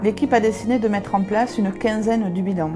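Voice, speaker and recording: A woman reads a documentary voice-over in an even, measured way, at a middle pitch. Clear music plays beneath her words. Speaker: social centre staff narrator.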